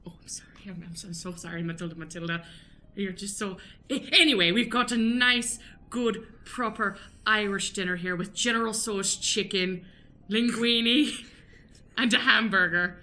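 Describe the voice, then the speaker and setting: A woman's voice: medium pitch, normal speed, bright, forceful tone, lightly acted. A woman playing a bustling, flustered, chatty ship's cook in an improvised radio play. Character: chatty, bustling, sneakily meddling female ship's cook Mary.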